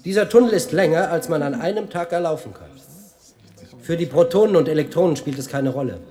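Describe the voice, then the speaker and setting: An actor playing a male science tour guide in a radio play, speaking in a formal, measured, didactic way. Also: fairly high pitch, expressive, close to an everyday voice.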